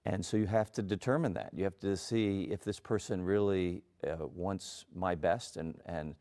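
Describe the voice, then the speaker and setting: Deep, mellow middle-aged man. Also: moderate pace. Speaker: parent and ballet creator. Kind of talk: TV interview.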